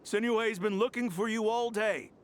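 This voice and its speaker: An actor playing a fussy, excitable older uncle in a video game, easily flustered. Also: male voice, sits high, normal speed, faint music behind, lightly acted. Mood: surprised.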